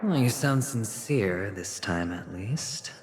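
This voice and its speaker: A man voicing a smug, teasing young man in a video game cutscene, speaking in a sardonic, deliberate way. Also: lowish voice, lively intonation. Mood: taunting. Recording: very echoey game audio with ambient noise.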